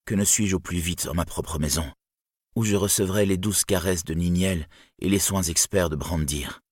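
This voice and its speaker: Man voicing a proud, wrathful wounded warrior, husky. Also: sits low, normal speed, no echo, clean studio sound. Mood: weary, longing. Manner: declamatory, indignant, imperious. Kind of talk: dramatic fantasy storybook reading.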